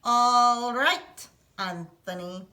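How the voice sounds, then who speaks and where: A woman voicing a wise old village witch who speaks in a measured, firm way in a read-aloud storybook. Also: fairly high pitch, pushed and bright, heavily acted.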